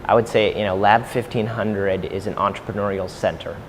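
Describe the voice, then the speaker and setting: A man's voice: on the low side, steady tone, brisk. A man in his thirties from St. Louis, a startup incubator co-founder who answers interview questions hesitantly, with many fillers. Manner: hesitant, full of fillers and restarts. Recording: faint background noise.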